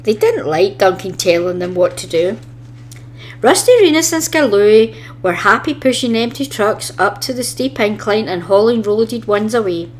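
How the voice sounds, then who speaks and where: A woman's voice: medium pitch, lively intonation, moderate pace. A woman narrating a children's story expressively, reading from a script as a storybook reader.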